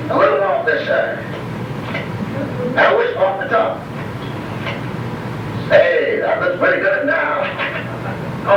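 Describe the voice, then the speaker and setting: Very high, lively voices in vintage radio comedy acting, heard from far off. The playback is heavily echoing and dull, with clear noise over it. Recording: echoey far-off playback of old radio show.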